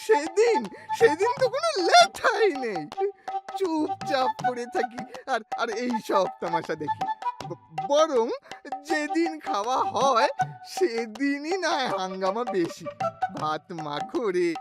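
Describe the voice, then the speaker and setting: A man's voice: very high voice, very animated, slow pace, exaggerated voice. A man playing a carefree, penniless fakir in an audio story, merry and rambling, often repeating his first syllables. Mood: carefree, amused. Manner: stammering repeats, amused, rambling. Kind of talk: Bengali audio story acting. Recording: prominent background music.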